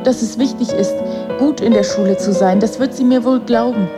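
A woman's voice: fairly high pitch, normal speed, close to an everyday voice. A woman playing a lonely, wounded schoolgirl in a radio drama, earnest and confiding. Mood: sad, resigned. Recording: music under the voice.

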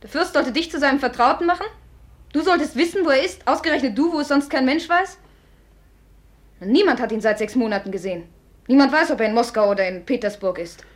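A woman's voice: high-pitched, lively intonation, fast. An actress in a 1950s radio play voicing a proud young noblewoman who scolds sharply. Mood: scornful. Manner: reads a letter in a hushed whisper, then scolds loudly. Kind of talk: 1950s radio drama acting. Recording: old radio play, husky tone.

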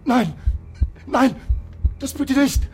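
A man's voice: very high voice, expressive, drawn-out words, a little mellow, faint music behind, full character voice. An actor playing a terrified, desperate man cornered by intruders in a radio drama. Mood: desperate panic.

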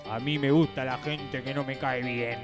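A man's voice: mid-range voice, steady tone, normal speed, soft background music. A man with a Rioplatense Argentine accent trading playful lines in a radio sketch. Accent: Rioplatense Argentine Spanish. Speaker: radio show participant.

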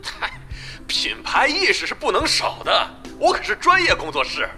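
A man's voice: very high-pitched, wildly swooping pitch, normal speed, music in the background, exaggerated voice. A man playing a cheeky, boastful gaming-studio hustler in an audio drama. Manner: boastful, gleeful. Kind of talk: Chinese audio drama acting.

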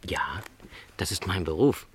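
A middle-aged travelling salesman in a radio play, with a low voice and lively intonation. He is lonely yet content, and speaks in a modest, reflective, courteous way. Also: a little slow, husky, no echo, heavily acted. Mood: modestly content.

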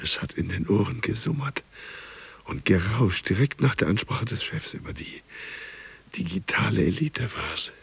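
An actor playing a disgruntled, neurotic office worker who broods and mutters in a radio drama monologue. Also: male voice, fairly low pitch, expressive, moderate pace, vocal fry, husky, full character voice. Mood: aggrieved, muttering. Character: disgruntled male office worker, neurotic, grumbling about body and cake. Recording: dull studio sound, faint music behind.

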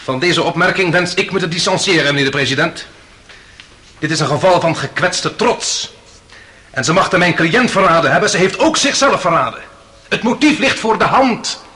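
High, sharp, indignant defence lawyer. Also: male voice, animated, moderate pace. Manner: formal, insistent. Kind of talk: theatrical radio drama acting. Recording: old radio play with faint noise.

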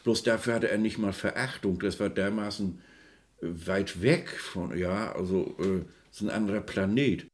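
A man's voice: sits low, unhurried, echoey room. A man giving spontaneous, discursive explanations as a music expert, searching for words and often seeking agreement.

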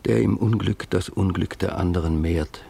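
An actor playing a stern, accusing man in a radio drama, gravelly and husky, solemn and rhetorical. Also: sits low, moderate pace, dark tone, exaggerated voice.

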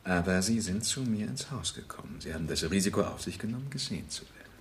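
An actor in a German radio play, playing a suave, flirtatious bachelor who talks with mocking politeness. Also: male voice, deep voice, expressive, fast, a little hiss or hum. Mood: teasing, probing. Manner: smooth politeness with mocking edge. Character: suave, sardonic bachelor charmer, flirtatious and probing.

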